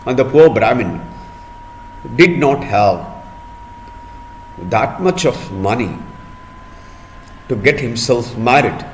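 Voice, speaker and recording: A man telling a folk tale to children in a deliberate manner, pausing often and repeating or explaining words as he goes. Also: medium pitch, expressive, a little hiss or hum, poor audio. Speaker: storyteller for children. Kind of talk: storytelling for children, with word explanations.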